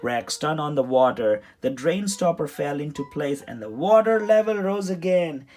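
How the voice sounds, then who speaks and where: A man reading a children's story aloud in an expressive, dramatic storytelling manner. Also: fairly high pitch, normal speed. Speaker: storybook reader. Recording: music behind the voice. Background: music.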